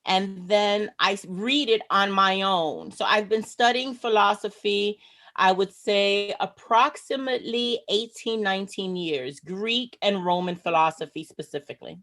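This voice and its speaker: A woman speaking as a guest on a video call, delivering a motivational talk in a slow, emphatic, earnest way. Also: medium pitch, fairly bright tone. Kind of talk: motivational speech.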